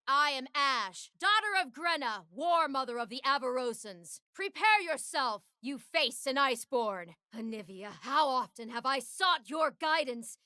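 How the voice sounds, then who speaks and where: A woman's voice: sits high, sharp tone, no room sound, exaggerated voice. Proud, solemn warrior queen, close dry recording. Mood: fierce, defiant, then indignant, pleading. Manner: solemn, declamatory. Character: proud, resolute young warrior queen of a frozen northern tribe. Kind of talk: video game character voice lines.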